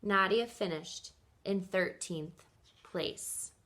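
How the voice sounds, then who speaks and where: Lady teacher reading a picture book to kids, slow n deliberate, bright forward voice, lots of emphasis. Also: mid-range voice. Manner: deliberate, storytelling emphasis for young listeners. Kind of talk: expressive children's picture-book read-aloud.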